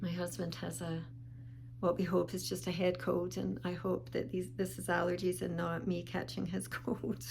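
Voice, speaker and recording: A woman talking casually in a show-and-tell vlog, calm and unhurried with small pauses, sounding a bit sniffly. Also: lowish voice, vocal fry, slight background noise. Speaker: vlogger.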